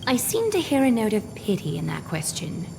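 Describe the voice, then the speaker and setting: A woman voicing a composed, noble female leader in a fantasy game, speaking formally and with measured, gentle authority. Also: mid-range voice, wildly swooping pitch, creaky, noisy background. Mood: calm, probing.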